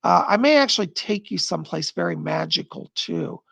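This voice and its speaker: A man's voice: fairly high pitch, animated, brisk, creaky, a bit bright, slightly rough, dry recording. A middle-aged psychic host with a strained, tired voice, chatting casually from home as he reads out viewer comments, rambling and laughing often. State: strained, losing his voice. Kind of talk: casual live-stream chat reading viewer comments.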